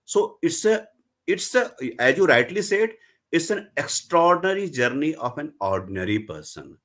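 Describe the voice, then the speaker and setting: A man's voice: on the high side, big pitch swings, moderate pace, fairly bright tone. A middle-aged man, a festival panelist who tells stories at length and retells scenes with gusto. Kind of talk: animated book discussion at a festival.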